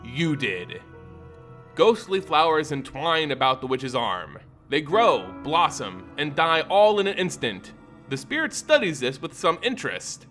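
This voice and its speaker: Guy reading fantasy lore dramatically, bright forceful voice, swoopy pitch, soft music behind. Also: very animated. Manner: theatrical, deliberate emphasis. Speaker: narrator. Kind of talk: dramatic scripted fantasy story narration.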